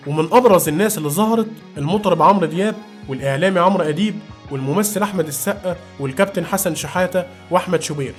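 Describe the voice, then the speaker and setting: A man with an Egyptian accent narrating an informal scripted review video. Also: high voice.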